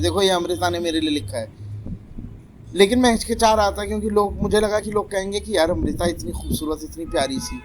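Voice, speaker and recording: A man's voice: high voice, slight background noise, poor audio. A man hosting a casual chat about poetry, telling stories with animation and teasing his guests.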